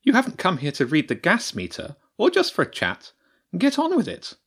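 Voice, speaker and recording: A man's voice: sits high, wildly swooping pitch, normal speed, fairly bright tone, breathy edge, pitched above the performer's own voice, exaggerated voice. A man voicing an impatient, sardonic female badger scientist in a storybook reading, dry and mocking. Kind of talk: audiobook character voice.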